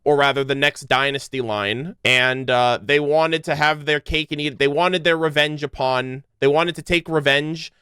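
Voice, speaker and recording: Bright, high-voiced young man streaming. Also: steady tone, moderate pace, few pauses, clear, resonant tone, no echo, clean studio sound. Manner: rambling, thinking aloud. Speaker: gaming reaction streamer. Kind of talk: casual unscripted lore commentary.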